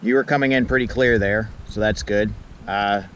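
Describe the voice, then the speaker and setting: A man's voice: lowish voice, steady tone, normal speed, poor audio. A man giving a casual, matter-of-fact product review and test outdoors, talking as the reviewer. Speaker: toy blaster reviewer. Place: outdoors in a yard by a pond. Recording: faint outdoor background noise.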